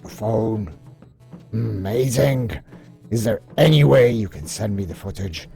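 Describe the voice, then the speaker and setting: A man's voice: lowish voice, animated, a little slow, creaky, warm tone, faint music behind. A man playing an eager, wry toy-soldier field agent with chummy patter in an amateur comic dub. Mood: wry, businesslike.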